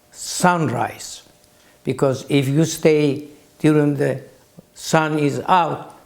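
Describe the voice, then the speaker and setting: A man in his eighties with a Greek accent, recalling his early life as an interview guest in halting phrases with long pauses. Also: a little high, gravelly, a little mellow. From Greece. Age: 80s. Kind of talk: slow reflective recollection.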